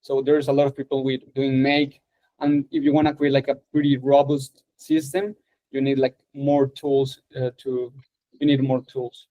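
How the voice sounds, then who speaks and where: A man's voice: mid-range voice, monotone, moderate pace, warm tone, low-quality recording. A young man from Colombia with a Colombian accent, a Spanish speaker introducing himself on a video call, hesitant and full of filler words. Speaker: course student.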